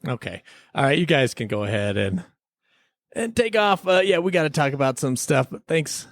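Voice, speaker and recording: A man's voice: fairly high pitch, big pitch swings, moderate pace, no room sound, clean studio sound. A man hosting a podcast with casual, comic banter in a jokey, pleading manner. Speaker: podcast host.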